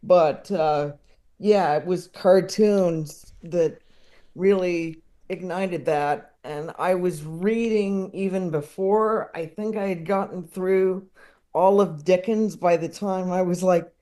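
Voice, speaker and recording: A man with a soft, mellow voice chats casually as a podcast guest. He takes his time, halting often, and sounds enthusiastic yet self-deprecating, tending to chuckle as he talks. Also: high voice, fairly even intonation.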